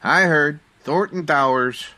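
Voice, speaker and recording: An actor playing a hesitant working-class cab driver in a comic old-time radio style. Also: male voice, on the high side, takes it slowly, bright, forceful tone, slight background noise. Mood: awkward, dutiful.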